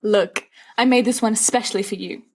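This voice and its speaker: A woman's voice: mid-range voice, brisk, husky. Woman reading as a theatrical, scornful teenage schoolboy. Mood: mock-dramatic. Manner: theatrical, teasing, flowery speech. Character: dramatic, scornful, mischievous teenage wizard schoolboy.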